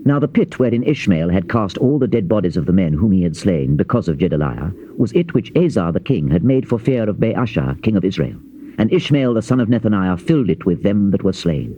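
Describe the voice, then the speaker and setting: Male scripture narrator, mellow and expressive, solemn measured storytelling, on a dull old cassette. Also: sits low. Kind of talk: dramatized King James Bible reading, scripted narration. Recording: dull, hissy old cassette tape transfer.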